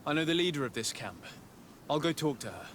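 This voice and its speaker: A man playing a composed young noble diplomat in a video game, speaking in a formal, measured and polite way with quiet resolve. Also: fairly high pitch, sing-song, a little hiss or hum. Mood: calm, firm. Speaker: diplomat character. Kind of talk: video game cutscene acting.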